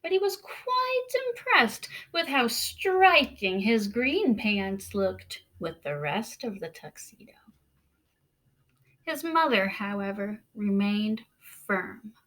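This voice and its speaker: A woman teacher reading a picture book aloud to children in a deliberate, expressive way, stressing key words. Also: medium pitch.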